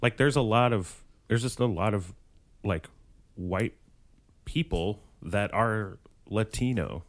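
A man's voice: fairly low pitch, slow. A man co-hosting a casual chat, rambling with fillers and cracking jokes. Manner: rambling, many fillers, jokey. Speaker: podcast co-host.

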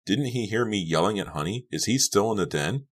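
Male reader as wounded angry teen girl, tense, overwrought, voice pushed higher, thriller audiobook. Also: lively intonation, brisk, breathy edge. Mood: anxious. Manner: tense, through clenched teeth, shrieking.

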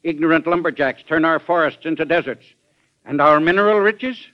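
An actor playing an earnest, indignant American government official in an old-time radio drama, formal and oratorical in delivery. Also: male voice, on the high side, fairly quick, close to an everyday voice. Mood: impassioned. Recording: dull vintage radio recording.

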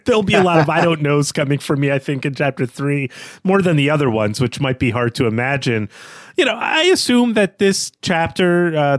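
A man's voice: medium pitch, no echo, studio quality. A man co-hosting a podcast, talking through literary analysis in a conversational style. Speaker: podcast co-host.

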